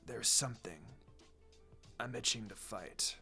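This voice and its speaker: A man's voice: lowish voice, unhurried, pushed and bright, exaggerated voice. A man voicing a brooding, menacing teenage ninja boy in a subdued, terse way for a fan fiction reading. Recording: music behind the voice.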